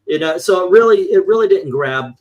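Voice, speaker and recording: A man's voice: sits high, lively intonation, fairly quick, dull audio. A man reviewing comic books in casual, opinionated panel chat, rambling and swearing, acting out his exasperated reactions.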